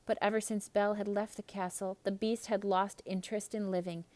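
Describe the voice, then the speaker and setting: A woman reading a children's story aloud in an expressive, theatrical narrating style. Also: medium pitch, fairly quick. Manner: expressive, dramatic.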